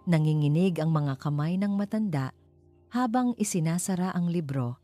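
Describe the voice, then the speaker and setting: A woman's voice: lowish voice, animated, moderate pace, mellow. A woman narrating a dramatic scripted story. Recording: music behind the voice.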